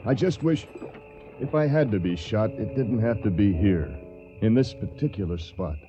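A man's voice: on the low side, expressive, normal speed, music in the background, lightly acted. A man playing a courteous, weary wounded young soldier in a radio drama, speaking with restraint. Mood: rueful. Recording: dull muffled radio recording.

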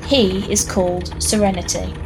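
Lady narrator reading an audio drama, measured, medium pitch, noticeable noise in the background. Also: steady tone. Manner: measured, storytelling. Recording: clear background noise, ambience.

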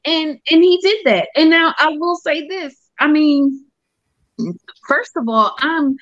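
A woman's voice: sits high, slow, a bit bright, no room sound. A woman speaking bluntly and argumentatively, swearing freely in a casual podcast debate. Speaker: podcast panelist. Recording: dull, muffled audio.

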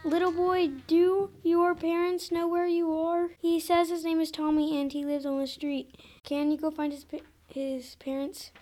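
A woman's voice: very high-pitched, flat delivery, moderate pace, darkish tone. A female performer playing a polite, pleading young mother in an amateur comic radio play. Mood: exasperated. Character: polite young mother, weary and increasingly exasperated.

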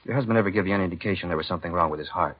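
Deep, fast-talking American detective. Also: male voice. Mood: gentle, businesslike. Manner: brief, polite questions. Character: male police detective, courteous, low-key junior partner. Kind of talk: 1950s radio drama acting. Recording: dull 1950s radio recording.

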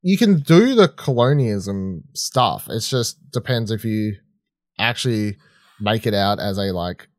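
A man co-hosting a film podcast in casual chat, halting and rambling as he thinks aloud. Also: fairly low pitch, expressive, no echo, clean studio sound. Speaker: podcast co-host.

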